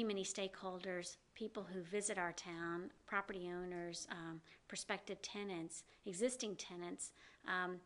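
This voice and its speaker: A woman's voice: medium pitch, a bit bright. A middle-aged woman with a slight Southern US accent, answering interview questions earnestly with many ums and you-knows. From American South. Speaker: executive director of a downtown organization. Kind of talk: interview guest.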